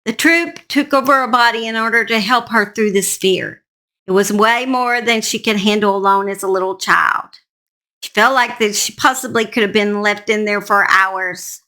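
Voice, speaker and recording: Woman, true crime host, high-ish pitch, bright forceful tone, storytelling narration, informal asides.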